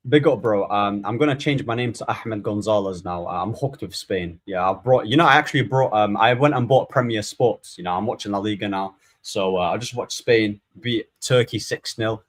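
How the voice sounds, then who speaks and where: Laid-back joking man. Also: medium pitch. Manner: jokey, laid-back, hesitant restarts. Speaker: podcast panelist. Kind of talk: casual football banter.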